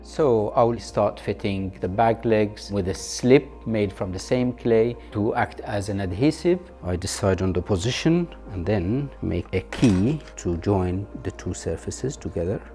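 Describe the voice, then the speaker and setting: Mellow, low-voiced man with Syrian Arabic accent. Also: animated, measured pace, few pauses, faint music behind. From Aleppo, Syria. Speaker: sculptor and archaeologist. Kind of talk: explaining craft, documentary narration.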